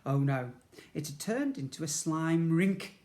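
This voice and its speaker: A man reads a storybook aloud for young children. His delivery is expressive and playful, with dramatic emphasis, repeated words and spoken sound effects. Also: fairly high pitch, a little slow. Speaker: primary school teacher reading to children.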